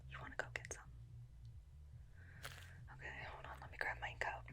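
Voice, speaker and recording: A woman playing a bossy, teasing, protective vampire slayer in an ASMR roleplay, whispering intimately into a close binaural mic with a breathy, creaky edge. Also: pushed and bright, natural voice. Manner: intimate, slow questioning, dryly teasing. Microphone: close binaural microphone.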